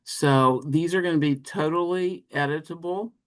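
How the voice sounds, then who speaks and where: A man giving an informal walkthrough of slides on a work call, speaking haltingly and often correcting himself. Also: a little high. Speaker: presenter on an educators' call.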